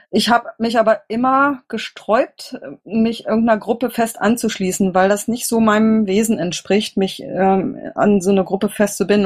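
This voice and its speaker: Middle-aged woman, German, medium pitch, conversational interview answers, halting and self-correcting. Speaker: interviewee, political candidate.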